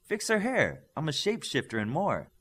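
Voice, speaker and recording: Guy doing a cheerful superhero girl, pitched up, bubbly and eager to help. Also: wildly swooping pitch, normal speed, a bit bright, husky, exaggerated voice. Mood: bright, playful. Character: bubbly young shapeshifter girl, cheerful and helpful.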